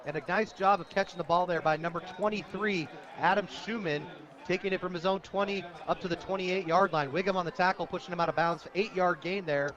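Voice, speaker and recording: A man's voice: high voice, fairly even intonation, fairly quick, crowd noise. An American man doing live radio play-by-play of a football game as the announcer. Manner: reads sponsor plugs between plays. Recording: dull lo-fi outdoor radio broadcast.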